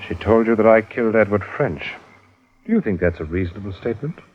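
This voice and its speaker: A coldly polite colonial planter played by a male actor who talks quickly in a low register. He is formal, evasive and clipped, in fifties radio drama style, on a dull old recording. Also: lively intonation, slight background noise, lightly acted. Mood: cool, accusing. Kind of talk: 1950s radio drama acting.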